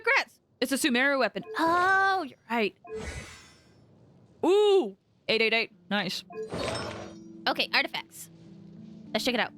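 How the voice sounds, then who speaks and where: A high-voiced woman is livestreaming a video game. She talks casually to her chat in a chatty way with animated reactions, over soft game music. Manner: chatty, animated reactions, breaks into singing and laughter. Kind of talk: casual live gaming commentary with chat.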